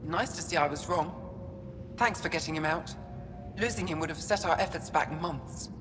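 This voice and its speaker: A woman's voice: deep voice, normal speed, close to an everyday voice. Actress as a driven alien rebel woman, urgent, heard in a reverberant space. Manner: exasperated, urgent. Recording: echoey game audio with music.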